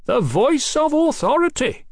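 A man's voice: very high-pitched, sing-song, a little slow, no room sound, clean studio sound, voice pushed up, full character voice. A man voicing a taunting, fanatical revolutionary villain in an audiobook, theatrical and declamatory.

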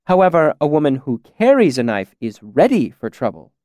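A man's voice: a little high, big pitch swings, moderate pace, dark tone, dry recording. A man voicing a poised young woman who teases in a wry, matter-of-fact way.

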